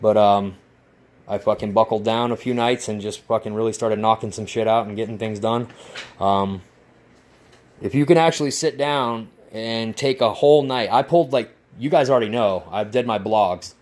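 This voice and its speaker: A man vlogging casually about his model plane build, rambling and swearing with excitement. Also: lowish voice, normal speed. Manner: rambling, profane, enthusiastic. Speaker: RC hobby vlogger. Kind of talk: casual workshop vlog.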